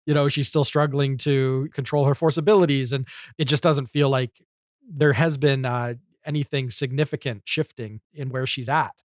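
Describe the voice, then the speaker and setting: A man's voice: mid-range voice, steady tone, moderate pace, no room sound, studio quality. A man co-hosting a podcast, discussing film casually in a thoughtful, explanatory way. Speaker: podcast host.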